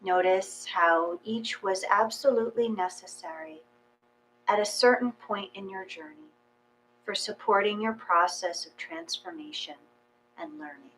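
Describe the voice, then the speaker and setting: A woman leads a guided meditation, reading at an unhurried pace with long pauses between phrases. Her voice sits at a middle pitch. A faint electrical hum runs under the recording. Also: rough recording. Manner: slow, calm, measured reading with long pauses.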